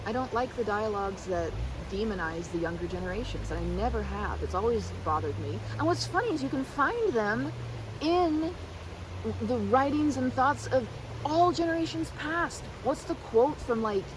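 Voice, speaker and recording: A woman's voice: on the high side, animated, moderate pace, noisy background. A woman rambling casually and animatedly like a chatty best friend, slipping into mimicked quotes in a roleplay.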